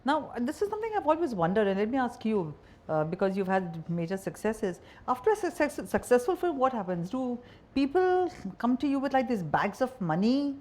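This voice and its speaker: A woman's voice: a little high, big pitch swings, brisk, darkish tone. A woman with an Indian accent interviewing as a journalist, asking layered questions and rephrasing as she goes. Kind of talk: conversational interviewing.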